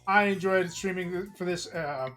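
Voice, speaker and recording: Hoarse man narrating a game stream. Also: high-pitched, moderate pace, a bit bright, soft background music. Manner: casual, chatty, reading aloud with small slips. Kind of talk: narrating a visual novel live.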